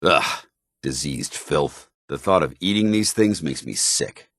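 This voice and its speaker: An actor playing a stern, zealous soldier companion in a video game, with an American accent and a formal, earnest military delivery. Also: male voice, a bass voice, lively intonation, unhurried, a bit bright, no echo, studio quality, exaggerated voice. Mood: disgusted.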